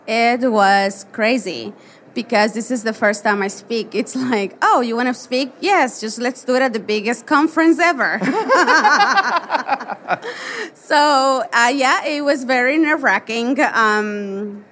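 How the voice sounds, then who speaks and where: A woman with a Spanish accent talks earnestly as a podcast guest, her voice a little high. She speaks in quick bursts and laughs easily. Faint convention noise is heard behind her. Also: fairly bright tone. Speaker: interview guest, photographer. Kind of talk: casual interview. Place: busy convention floor.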